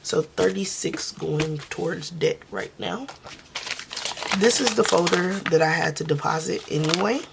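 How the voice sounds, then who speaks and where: A woman who is losing her voice speaks softly and casually, counting money aloud in a budgeting vlog. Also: unhurried, vocal fry, background noise. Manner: soft, low-key, counting under her breath. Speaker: budgeting vlogger.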